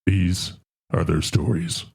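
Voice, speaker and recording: A man's voice: low-pitched, steady tone, slow, creaky, noisy background, full character voice. A man playing a grave announcer narrating the dramatic opening of a crime drama parody.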